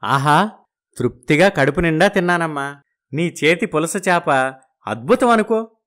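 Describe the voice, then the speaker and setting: A man's voice: fairly high pitch, lively intonation, measured pace, fairly bright tone. A man playing a genial, food-loving village brother-in-law in a moral story, effusive and full of exclamations. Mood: eager, agreeable.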